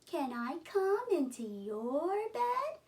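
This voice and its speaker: A woman reading a storybook aloud, voicing a small frightened young male mouse who calls out anxiously in a squeaky voice. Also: sing-song, takes it slowly, distant mic, full character voice. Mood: scared, pleading.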